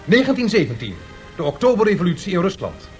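A man's voice: high-pitched, very animated, fast talker. A man reading scripted, dramatic narration in a historical radio play. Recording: radio drama with music behind.

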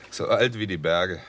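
A man's voice: low-pitched, a bit bright, full character voice. A man playing a cool, decent, reserved doctor in a 1960s German radio crime drama, speaking drily and matter-of-factly. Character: cool, decent, reserved male doctor turned amateur sleuth.

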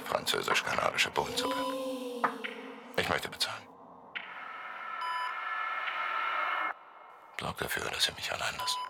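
A man's voice: low voice, huge pitch swings, a little fast, creaky, very noisy, heavily acted. A man playing a delirious dying gangster in a psychedelic radio drama, rambling erratically and slipping into whispers. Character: delirious dying male gangster, muttering and pleading. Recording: voice over loud music.

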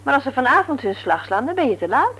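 An actress in a Dutch radio play, playing a brisk, teasing, no-nonsense nurse married to a man she likes to mock. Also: sits high, very animated, fairly bright tone, breathy edge, heavily acted. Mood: teasing, curious. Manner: brisk, mocking, matter-of-fact. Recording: noisy old radio recording with hum.

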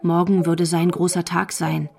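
A female narrator of a crime radio play. She tells the story in a scripted style with a fairly low voice. Music is clearly audible behind her. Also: level tone, measured pace, a little mellow. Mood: measured.

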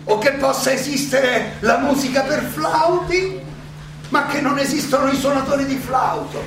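Stage role: elderly ironic philosopher defending himself in court, rhetorical and insistent; very reverberant, distant, noisy live theatre recording. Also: male voice, very high voice, a bit bright. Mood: pressing, questioning. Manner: rhetorical, halting then insistent, questioning. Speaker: stage actor.

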